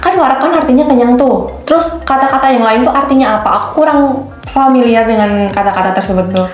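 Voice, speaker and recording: A woman's voice: a little high, fairly quick, reverberant, soft background music. A young woman with a Solo-Yogya Javanese accent, co-hosting an educational podcast and asking curious follow-up questions. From Central Java. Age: young adult. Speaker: podcast co-host, intern student. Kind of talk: scripted educational chat.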